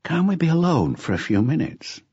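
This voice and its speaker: A man's voice: fairly high pitch, sing-song, normal speed, husky, no echo, dull audio, pitched above the performer's own voice, heavily acted. A man reading aloud, voicing a timid, nervous travelling salesman. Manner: timid, hushed.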